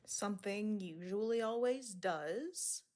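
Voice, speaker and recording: An actress playing a wry, unflappable female patient in a radio drama, casual and quick with comebacks. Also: medium pitch, lively intonation, a little mellow. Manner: sardonic, casual.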